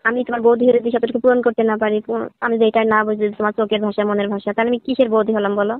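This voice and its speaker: A woman's voice: a little high, level tone, very fast, no echo, close to an everyday voice. A woman playing a flirtatious, lonely married sister-in-law in a staged phone-call drama, coaxing and teasing as she talks. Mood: persuasive, flirtatious. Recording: tinny narrowband phone line.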